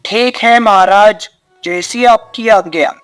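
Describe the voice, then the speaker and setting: A man's voice: sits high, slow pace, a bit bright, smooth, soft background music. A man playing a dutiful, compassionate royal minister in a moral story. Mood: deferential. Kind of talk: dramatised Hindi moral story.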